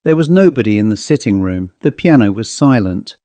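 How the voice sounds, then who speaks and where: A man's voice: lowish voice, animated, brisk, dark tone, no room sound. Male storyteller, dry close sound. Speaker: audiobook narrator. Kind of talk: scripted literary narration of a ghost story.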